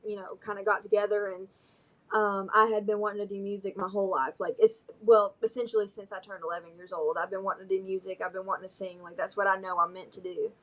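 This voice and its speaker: A woman's voice: mid-range voice, little pitch movement, fast talker, poor audio. A young woman with a Southern American drawl, from Alabama, talks through an interview in a bubbly, easygoing way. She giggles often and leans on "um" and "like" as she goes. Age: young adult. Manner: bubbly, giggly, many fillers. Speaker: singer-songwriter guest. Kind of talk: casual interview chat.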